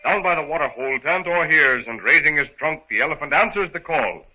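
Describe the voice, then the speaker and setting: A man's voice: a little high, lively intonation, fairly quick, phone-quality sound, background noise. A man narrating a 1930s radio adventure serial in a formal, dramatic storytelling manner, on an old recording.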